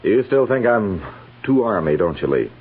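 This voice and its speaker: A man's voice: fairly low pitch, very animated, moderate pace, slightly rough, narrow, tinny audio, full character voice. An American man, middle-aged, playing a stern, by-the-book cavalry major, formal and authoritative, in 1950s radio western acting. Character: stern, by-the-book older male army major. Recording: thin band-limited old radio recording.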